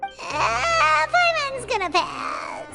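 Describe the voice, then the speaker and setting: Squeaky, childlike fairy companion. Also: female voice, sing-song, drawn-out words, music in the background, exaggerated voice, low-quality recording. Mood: wary. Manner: refers to self in third person, childlike. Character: tiny chirpy floating fairy companion, childlike, blunt. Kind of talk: video game voice acting.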